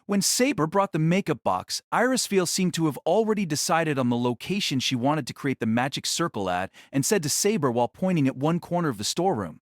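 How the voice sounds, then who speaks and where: A man's voice: fairly high pitch, sing-song, brisk, few pauses, no echo, clean studio sound. A man reading scripted story narration for an audio drama.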